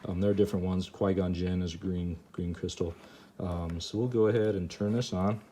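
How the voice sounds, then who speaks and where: A low-voiced man talks through a hands-on hobby demo in a casual, unscripted way. He is halting and circles back over his phrases. There is some noise behind him. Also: steady tone, dark tone. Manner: hesitant, halting, repeats himself. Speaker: host of a hobby gadget demonstration video. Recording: noticeable background noise.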